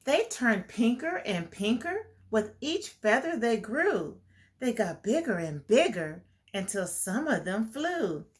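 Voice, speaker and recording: Slow, animated woman reading to children. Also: on the high side. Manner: deliberate, explanatory, addressing young children. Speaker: children's storytime host and reader. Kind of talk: animated picture-book read-aloud for children.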